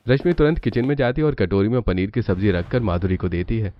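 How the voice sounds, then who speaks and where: A man's voice: on the low side, expressive, quick pace. A man narrating a scripted cartoon family story as the storyteller. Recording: dull lo-fi narration, faint noise.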